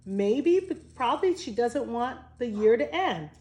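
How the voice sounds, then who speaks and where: A woman's voice: on the high side, very animated, unhurried, a little mellow. A woman voicing eager, earnest young schoolchildren in a storybook read aloud. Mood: wistful, hopeful. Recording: echoey room.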